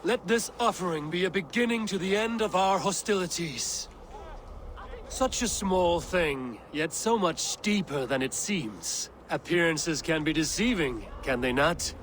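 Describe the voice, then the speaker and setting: An actor playing a proud, defiant Norse warrior god in a video game, speaking in a formal, grave and measured manner. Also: male voice, on the high side, lively intonation, fairly bright tone, a little hiss or hum. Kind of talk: video game cutscene acting.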